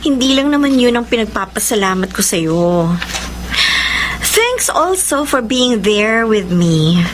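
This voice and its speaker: Casting: girlish young woman, Filipino accent, smitten and teasing, very animated high voice, radio romance. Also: unhurried, noisy background. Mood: tender. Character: playful, lovestruck young woman pining for her best friend. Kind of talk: Tagalog romantic radio drama acting, dialogue and letter narration.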